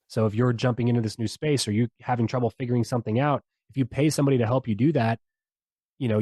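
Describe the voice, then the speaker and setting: A man guesting on a podcast, pitching his ideas in a loose, persuasive way. His voice is mellow and warm, recorded dry and close. He talks with a lot of energy and slang. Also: no echo. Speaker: podcast guest, software founder. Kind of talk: casual interview talk.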